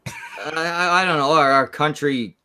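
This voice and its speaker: A man from Canada in a crude casual chat, deadpan and halting, mocking himself. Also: fairly high pitch, slight background noise.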